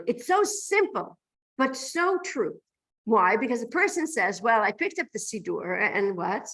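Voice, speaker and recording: Lady giving a religion lecture, highish voice that swoops around, really emphatic, asks questions as she goes. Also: fairly bright tone, low-quality recording. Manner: emphatic, poses rhetorical questions and checks "right?". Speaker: teacher of a religious class. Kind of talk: lecture to a class, explaining texts.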